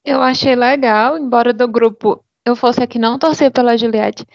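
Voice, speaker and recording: A woman's voice: a little high, steady tone, normal speed, creaky. A woman from Fortaleza, a chatty podcast guest. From Fortaleza, Ceará, Brazil. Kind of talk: casual chat. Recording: dry, dull lo-fi sound.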